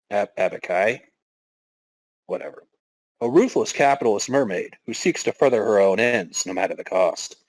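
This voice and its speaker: A man's voice: fairly low pitch, no room sound, low-quality recording. A man hosting a podcast, giving a dramatic reading with casual, wry asides. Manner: wry, self-interrupting commentary. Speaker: podcast host.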